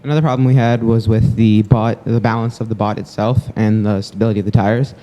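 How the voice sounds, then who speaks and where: A young man from Massachusetts describing a robot fix in a steady, rehearsed way. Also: fairly low pitch, a little fast. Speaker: student presenter. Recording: reverberant hall.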